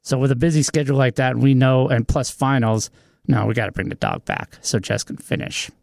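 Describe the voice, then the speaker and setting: A man's voice: medium pitch, a little fast, gravelly, darkish tone, no echo, clean studio sound. A man co-hosting a radio chat show, telling family news in an easygoing way. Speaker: radio co-host.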